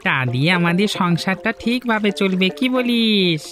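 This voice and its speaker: A man's voice: high voice, moderate pace, fairly bright tone, faint music behind. A man voicing an eager, upbeat adult fisherman who is the hero's friend in a cartoon. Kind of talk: cartoon dub acting.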